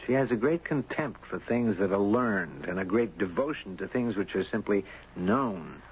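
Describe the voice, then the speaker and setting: A man's voice: on the low side, expressive, moderate pace. An actor playing a friendly, curious and courteous man who also narrates in a 1970s radio drama. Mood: curious, gentle. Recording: dull noisy 1970s radio broadcast.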